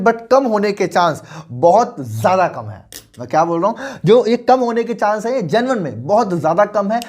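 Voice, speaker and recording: A young man in his twenties coaching on investing, talking persuasively and often checking that the listener is following. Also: high voice. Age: 20s. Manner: persuasive, mixes English into Hindi, asks the listener questions. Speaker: finance coach. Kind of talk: motivational finance lesson.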